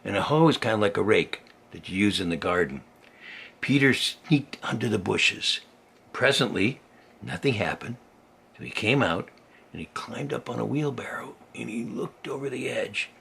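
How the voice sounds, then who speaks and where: An older man, a grandfather reading a children's story aloud in a folksy, chatty way, with a gravelly, husky voice and simple asides as if to a small child. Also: fairly low pitch, animated, moderate pace, a bit bright, a little hiss or hum.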